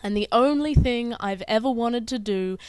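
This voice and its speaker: A young woman reciting spoken-word poetry in an earnest, measured and dramatic way, with deliberate phrasing. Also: a little high, a little mellow, smooth, dry recording. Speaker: poet.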